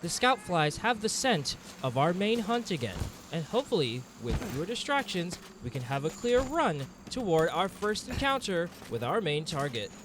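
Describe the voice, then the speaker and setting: Guy narrating a monster hunting game like a nature doc, kinda high voice, super animated pitch, dramatic. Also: noisy background. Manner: dramatic, measured storytelling with formal wording. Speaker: game streamer narrating own hunt. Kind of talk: scripted-sounding nature documentary style game commentary. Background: game music under the voice.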